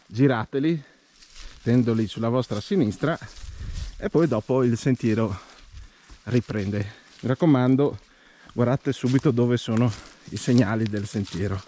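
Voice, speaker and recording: A man's voice: medium pitch, moderate pace, long pauses, mellow. A man giving casual, playful and encouraging commentary as he films and guides a walk outdoors. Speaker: hiking vlogger filming and guiding a walk. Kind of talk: casual trail commentary to viewers and companions. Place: outdoors on a mountain trail. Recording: clearly noisy outdoor handheld recording.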